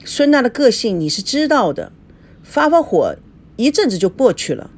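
A coy young female assistant acted by a woman narrator in an audiobook drama. She speaks in a high, very mobile voice, hesitant and plaintive, with an apologetic air. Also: sing-song, normal speed, a little mellow. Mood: falsely soothing.

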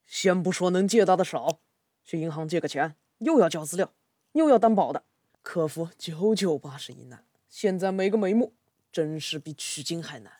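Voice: medium pitch, lively intonation, normal speed, mellow, no echo, studio quality, natural voice. A performer playing a worried father working in the city, grumbling and colloquial, in an amateur radio drama. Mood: weary, frustrated. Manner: sighing, complaining, colloquial. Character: worried migrant father seeking a loan in the city.

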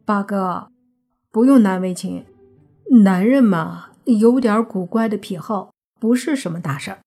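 A woman's voice: mid-range voice, measured pace, mellow, breathy edge, soft background music. A woman narrator voicing a teasing male student who consoles in a knowing, placating way in an audiobook story. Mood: mock sympathy.

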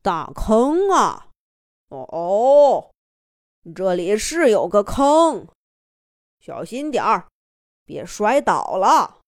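A woman voicing a kindly, hard-of-hearing old great-grandfather who speaks slowly and loudly in a storybook reading. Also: medium pitch, sing-song, no echo, clean studio sound. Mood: doting. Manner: slow, loud and doting.

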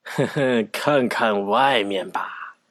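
A man's voice: medium pitch, takes it slowly, fairly bright tone. A man playing a breezy, obliging wizard in a children's audio drama, casual and confident, with music behind. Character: breezy, obliging male wizard of a storybook street.

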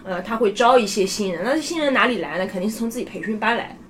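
A woman talking in a casual group chat as a podcast panelist, with an animated, gossipy and emphatic way of talking. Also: medium pitch, fast talker, a little hiss or hum.

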